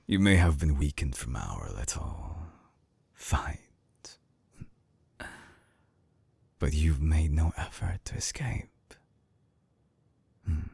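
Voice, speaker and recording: A man playing an obsessive, calculating mad-scientist villain in an intimate audio drama, coaxing in a husky half-whisper with slow, silky menace. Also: darkish tone, no room sound, exaggerated voice. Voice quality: very deep, gravelly, husky, breathy half-whisper. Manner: slow, deliberate, silky menace with lingering pauses. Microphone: close binaural microphone, very dry.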